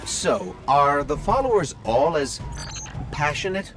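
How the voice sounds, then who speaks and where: A man's voice: on the high side, sing-song, slow, full character voice. A man voicing a small, earnest robot companion in a video game cutscene, talking conversationally. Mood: wry, curious. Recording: loud music under the voice.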